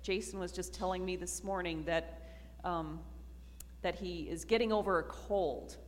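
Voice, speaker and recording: A woman pastor giving a slow, earnest communion sermon to her congregation in a church. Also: fairly low pitch, fairly even intonation, slight background noise. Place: church sanctuary. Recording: reverberant church hall.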